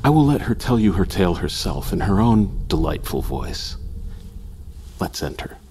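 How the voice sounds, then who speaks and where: An actor playing an ingratiating, faintly sinister asylum doctor in old-time radio horror style, with dramatic pauses and whispers. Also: male voice, low-pitched, sing-song, warm tone, a little hiss or hum. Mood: gleeful. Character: unctuous, delighted male asylum doctor, theatrical and faintly sinister.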